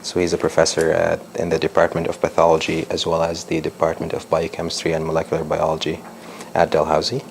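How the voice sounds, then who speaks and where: A man's voice: rumbling low, monotone, fast, vocal fry, a little hiss or hum. A young man from Lebanon, a doctoral researcher, giving a public science talk with many ums and restarts.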